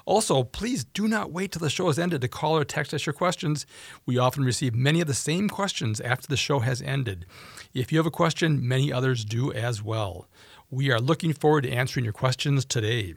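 A man hosting a call-in radio talk show, upbeat and reading phone numbers slowly. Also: mid-range voice, vocal fry, no echo.

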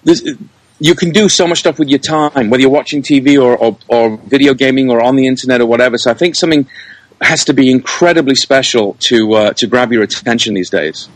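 A man's voice: medium pitch, brisk, no echo, low-quality recording. Effusive man in a dry-sounding interview. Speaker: interview guest, producer, director and actor. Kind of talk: casual podcast interview.

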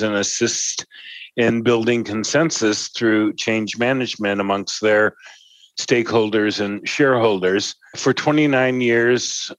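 A man's voice: on the low side, no room sound, poor audio. Polished corporate man, dry sound. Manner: polished, jargon-heavy delivery. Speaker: guest, workplace strategy director. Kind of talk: prepared interview answers.

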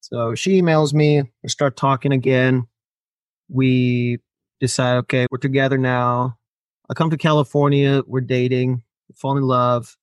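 A man's voice: mid-range voice, little pitch movement, a little slow, dry recording. A man from Finland, a native Finnish speaker, telling a long personal story on a podcast, acting out quoted lines and laughing often. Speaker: podcast guest. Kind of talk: casual storytelling interview.

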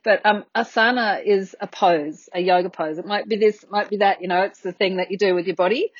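A woman's voice: mid-range voice, steady tone, fairly quick, breathy edge, no echo, dull audio. Chatty fifties woman, dry sound. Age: 50s. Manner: chatty, laughs often, irreverent. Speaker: interview guest, yoga business founder. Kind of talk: casual conversation.

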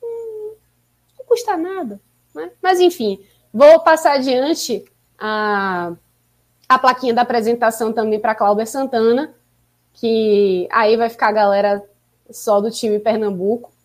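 A woman's voice: a little high, unhurried. A woman co-hosting a casual live podcast stream, chatty and upbeat as she urges viewers to like and subscribe. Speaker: podcast co-host.

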